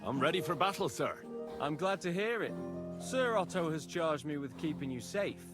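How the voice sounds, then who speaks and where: An actor playing a dutiful knightly bodyguard in a game cutscene, respectful and formal. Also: male voice, fairly high pitch, lively intonation, moderate pace, music in the background, lightly acted. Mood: courteous, ready. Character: dutiful, courteous knightly escort. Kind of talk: video game cutscene acting.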